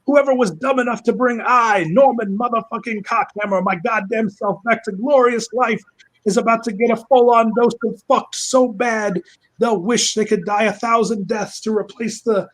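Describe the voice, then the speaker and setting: A man's voice: extremely high pitch, fairly even intonation, moderate pace, pitched above the performer's own voice, rough recording. A man voicing a crude, boastful, furious resurrected corpse, pompous and foul-mouthed, in a comic storytelling style. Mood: bombastic menace. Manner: pompous, blustering, swearing.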